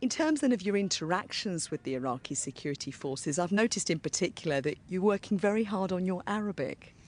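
A presenter introducing a military program and interviewing a soldier outdoors, speaking in a clear, measured way. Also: mid-range voice, expressive.

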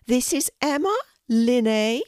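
Woman putting on a high, genteel elderly queen. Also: animated, slow pace, no room sound, studio quality. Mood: gracious. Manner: gentle, genteel and reassuring. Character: elderly queen, gracious, kindly and warm.

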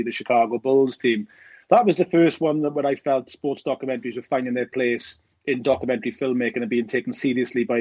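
A husky-voiced Welsh man in his forties talks fast in a rambling way, full of fillers and restarts. His voice is dry and close, over a dull, lo-fi remote call line. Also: mid-range voice, darkish tone, no echo. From Wales. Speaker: documentary director. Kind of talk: casual podcast interview.

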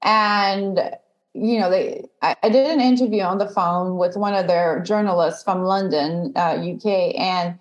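A woman whose first language is French, talking in a casual podcast interview in a chatty, opinionated way, full of fillers and quick laughs. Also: fairly low pitch, dull audio. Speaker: guest, dating content creator.

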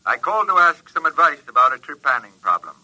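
A man's voice: on the high side, big pitch swings, fast, dry recording, exaggerated voice, rough recording. An actor playing the recorded telephone voice of a courteous male doctor, chatting obliviously through a phone filter in a radio drama. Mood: polite, chatty. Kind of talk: old-time radio drama acting. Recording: thin, sharp telephone sound.

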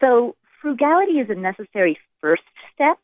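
A woman's voice: fairly high pitch, very animated, moderate pace, no echo. An American woman giving conversational, explanatory answers as a podcast guest, heard over a phone-like connection. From United States. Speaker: personal finance expert, podcast guest. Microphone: remote phone-like connection. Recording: dry, phone-like band-limited call.

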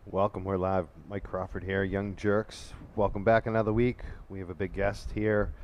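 Rambling male host, lowish voice. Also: level tone, moderate pace. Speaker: talk show host. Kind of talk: casual live call-in show chat.

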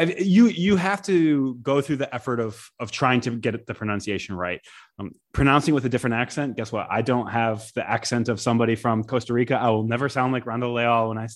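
A man co-hosting a soccer podcast, talking casually with frequent restarts and small laughs. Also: mid-range voice. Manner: conversational, many restarts and soft laughs. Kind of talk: casual sports talk.

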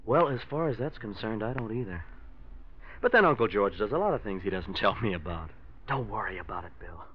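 Smooth scheming man, old radio drama. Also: fairly low pitch, lively intonation, brisk. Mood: casual, evasive. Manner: falsely casual, insinuating. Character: scheming, hypocritical nephew feigning friendly concern. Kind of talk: 1940s radio drama acting. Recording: dull old radio recording.

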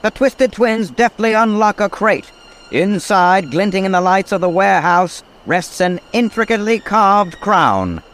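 An actor playing a melodramatic announcer narrating the action of an old-time radio mystery. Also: male voice, sits high, lively intonation, unhurried, fairly bright tone. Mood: intrigued.

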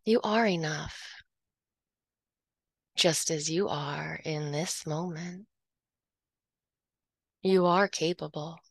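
A woman leading a guided hypnosis meditation, speaking in a slow, soothing, hypnotic way and dropping softly into whispers. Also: low-pitched, no room sound, clean studio sound.

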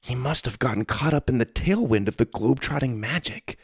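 A man plays a forthright young girl for a children's audiobook. The voice is lifted high and swings in a lively way, with a spirited, insistent manner. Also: slow, gravelly, slightly rough, no echo, clean studio sound, heavily acted. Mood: matter-of-fact.

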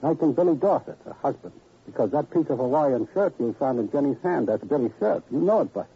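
Brash, husky fight promoter. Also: male voice, mid-range voice, expressive, fast talker. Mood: confiding. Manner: slangy, wisecracking tough-guy talk. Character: brash, slangy fight promoter, glib and defensive. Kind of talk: old-time radio crime drama acting. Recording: dull, lo-fi vintage radio recording.

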